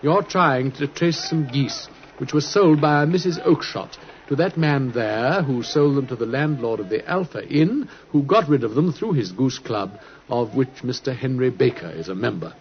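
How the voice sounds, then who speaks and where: A man playing a shrewd gentleman detective in an old-time radio drama, with a British accent and a polite, brisk, knowing manner. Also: mid-range voice, lively intonation, natural voice. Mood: keen, assured. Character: shrewd, urbane gentleman detective, quietly commanding. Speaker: actor in a radio play. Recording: muffled, hissy vintage radio recording.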